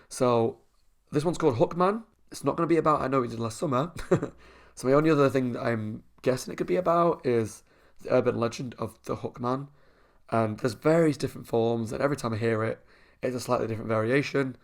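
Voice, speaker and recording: A young man hosting a reaction channel at home, chatting casually with a croaky, sore voice and frequent laughs. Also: medium pitch. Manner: chatty, talks a lot, laughs often.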